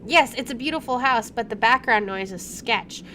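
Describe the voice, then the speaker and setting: A woman's voice: a little high, moderate pace, bright, forceful tone, slight background noise, low-quality recording. A woman streamer reading visual novel text aloud and breaking in with casual, playful asides. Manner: chatty, self-interrupting, playful reactions. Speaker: video game streamer doing a let's play.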